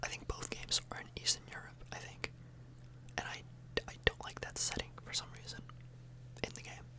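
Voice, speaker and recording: Whispering woman, casual ASMR ramble. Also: normal speed. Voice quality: breathy, unvoiced whisper. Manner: informal, hesitant, meandering. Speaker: ASMR video host. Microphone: close microphone.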